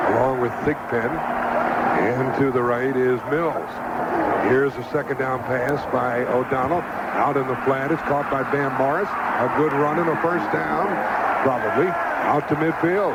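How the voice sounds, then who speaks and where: A man with an American accent calling live football play-by-play on the radio in clipped, punchy phrases. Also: mid-range voice. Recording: dull lo-fi radio broadcast, loud noise.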